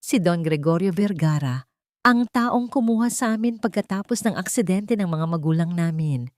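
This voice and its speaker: A woman's voice: fairly low pitch, animated, normal speed, darkish tone, no room sound, studio quality. A woman reading a scripted first-person story in a dramatic narrating style.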